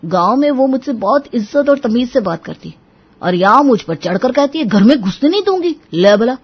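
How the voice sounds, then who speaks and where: A mild, henpecked young husband voiced by a woman storyteller in an audio drama. The voice is fairly high and lively, with a friendly, colloquial, placating manner. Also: normal speed, slightly rough, highs cut off. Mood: relieved, confiding.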